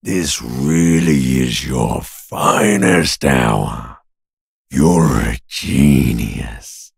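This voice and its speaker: Abyssally deep, gravelly taunting corpse. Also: male voice, very slow, no room sound, clean studio sound, full character voice. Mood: seductive, goading. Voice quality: gravelly, raspy growl. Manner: drawling, mockingly intimate, crude. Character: male ancient reptilian brain and talking corpse, taunting, morbid. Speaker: voice actor in a video game. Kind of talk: video game character voice acting.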